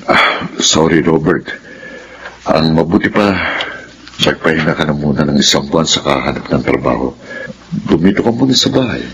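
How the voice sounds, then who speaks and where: An actor playing a patient, understanding Filipino father of grown children in a radio drama, with a gentle, advising manner. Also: a bass voice, animated, moderate pace, creaky, long pauses, background noise, full character voice. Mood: consoling.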